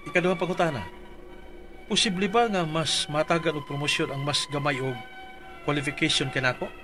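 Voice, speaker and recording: A man's voice: a little high, fairly even intonation, fairly quick, music in the background. A man reading out his dramatized complaint letter for a radio advice show, earnest and pleading.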